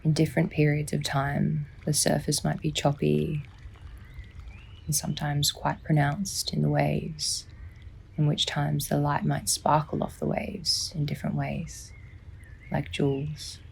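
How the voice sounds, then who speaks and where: A woman softly and soothingly narrating a guided sleep meditation, unhurried, with long pauses and a breathy, near-whispering delivery. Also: deep voice, completely flat delivery, slight background noise. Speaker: psychologist guiding a sleep meditation.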